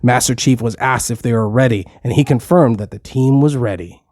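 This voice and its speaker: A man's voice: mid-range voice, fairly quick, darkish tone, no echo. Man narrating a story in a dry, close recording. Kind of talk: scripted children's story narration.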